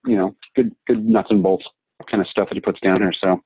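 A man's voice: fairly low pitch, steady tone, brisk, breathy edge, no room sound. A man in a casual group discussion on a video call, thinking aloud in a rambling, reflective way. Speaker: class participant. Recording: dull, lo-fi video call audio.

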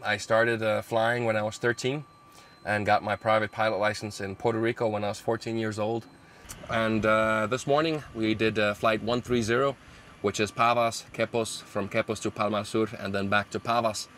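A man in his forties with a fairly low voice speaks to a close camera from a small plane's cockpit. He talks in a relaxed, explanatory way, stopping often on small fillers, and a faint hum of ambient noise sits behind him. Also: normal speed. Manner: relaxed, explanatory, with frequent hesitations. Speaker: airline captain. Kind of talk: casual presentation to camera. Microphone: camera close in front of him.

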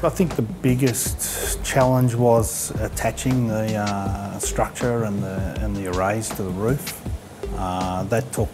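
A man's voice: lowish voice, steady tone, slow, over music. A man, speaking in an interview testimonial.

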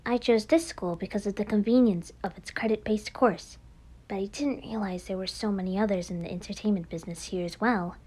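Woman voicing a cool, low-toned composed anime girl. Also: warm tone, husky, natural voice. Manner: measured, matter-of-fact, unruffled. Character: calm, composed teenage girl, cool former idol. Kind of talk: amateur anime game voice acting.

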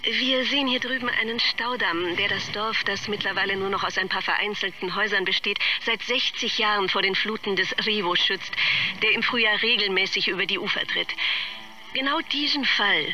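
Formal probing male reporter, high-pitched, sharp, measured, outdoor location, faint noise. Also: distant mic. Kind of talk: scripted news interview in a radio drama.

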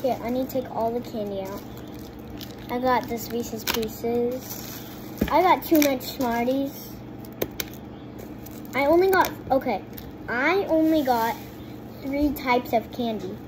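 A woman's voice: a little high, takes it slowly, pauses often, background noise. A young girl vlogging casually at a kitchen table, rambling and restarting her sentences as she talks to her viewers. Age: child. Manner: chatty, rambling, restarts often. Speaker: kid vlogger. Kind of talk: casual chat to camera. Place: home kitchen table by a window. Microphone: phone propped on the table.

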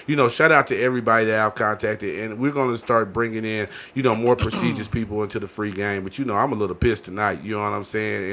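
A man's voice: on the low side, a bit bright. An American man hosting a casual podcast, rambling along with frequent verbal tags like "you know". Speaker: podcast host. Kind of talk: casual podcast sign-off talk. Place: small indoor podcast studio. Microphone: podcast mic on boom arm, headset.

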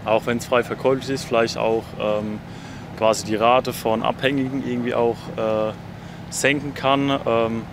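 A young man answering street interview questions in a casual, halting way. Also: mid-range voice, flat delivery. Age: young adult. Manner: hesitant, halting. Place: outdoors beside a wide city street. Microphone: handheld interviewer's mic. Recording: clear outdoor traffic noise.

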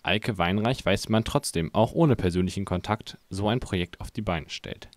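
A male reporter reads a scripted news narration. His voice is very low, and the sound is dry and close. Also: normal speed, no room sound.

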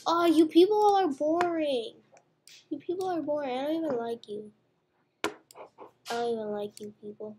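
High-voiced young girl playing a game. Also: moderate pace, long pauses. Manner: playful, chatty, narrating her own moves. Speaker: gamer. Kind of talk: casual gameplay chat. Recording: faint background noise with mouse clicks.

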